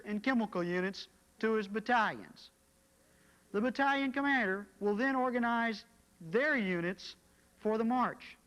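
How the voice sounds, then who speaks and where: Man, high voice, bright and forceful tone, formal measured military narration, long reverb. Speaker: training film narrator. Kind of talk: scripted military training narration. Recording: archival film with long reverb.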